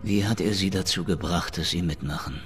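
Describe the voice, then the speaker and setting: An actor playing a tough, impatient police detective in a radio crime drama, speaking in a blunt, gruff and demanding way. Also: male voice, low-pitched, brisk, slight background noise. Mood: impatient interrogation. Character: tough, impatient male Harlem police detective interrogating suspects.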